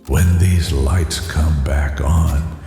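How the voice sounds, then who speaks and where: A man's voice: rumbling low, steady tone, very slow, dark tone, exaggerated voice. An actor playing an arrogant, frantic male villain with menacing threats in a cinematic audio drama. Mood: seething. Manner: menacing, clipped retorts and threats. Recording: very echoey chamber with music behind.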